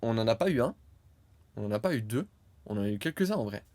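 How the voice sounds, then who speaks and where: Low-voiced young man chatting casually. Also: big pitch swings, fast talker, dry recording. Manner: laughs loudly, many fillers. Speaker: podcast co-host. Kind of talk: casual chat.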